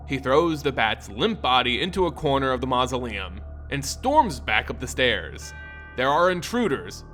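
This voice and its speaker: A man hosting a lore channel, giving expressive, theatrical scripted fantasy narration and commentary, with music behind. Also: medium pitch. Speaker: lore storyteller and channel host.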